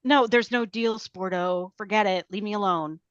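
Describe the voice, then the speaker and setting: A woman's voice: mid-range voice, normal speed, no room sound, lightly acted. A woman playing a sullen, defiant teenage outcast girl, blunt and defensive, in a table read with muffled sound. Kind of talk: table read of a film script.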